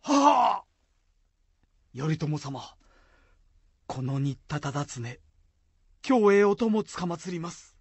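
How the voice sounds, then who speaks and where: A man's voice: fairly high pitch, very animated, slow pace, gravelly, pauses often, slightly rough, no echo, heavily acted. A man playing a loyal, plain-hearted samurai with humble, formal old-fashioned speech in a period radio drama. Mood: humble, eager. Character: loyal, plain-hearted samurai warrior of medieval Japan, male.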